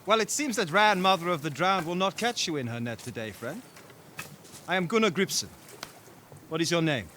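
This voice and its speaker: An actor playing a proud, stern young Viking warrior leader in a video game cutscene. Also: male voice, high voice, expressive, normal speed, pauses often, bright, forceful tone, a little hiss or hum. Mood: friendly, calm. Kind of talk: cinematic game dialogue.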